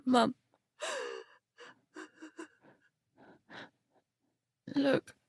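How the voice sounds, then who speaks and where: An actress playing a frightened, guilt-stricken girl in an audio drama, speaking in a halting, raw, emotional way with some creak in her voice. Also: no echo, close to an everyday voice. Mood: weak, distressed. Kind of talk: audio drama acting and first-person narration.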